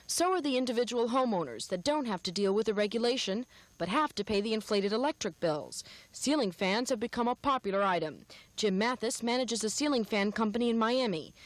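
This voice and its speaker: A woman's voice: mid-range voice, dry recording. An American woman narrating a scripted television news report as the reporter.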